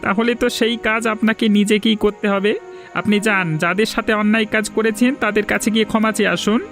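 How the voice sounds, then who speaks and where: Cartoon role: stern, righteous country doctor, played by a man, high-pitched and sermonising, over music. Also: level tone, fast, fairly bright tone. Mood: admonishing, encouraging. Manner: preachy, admonishing. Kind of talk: Bengali moral cartoon dubbing.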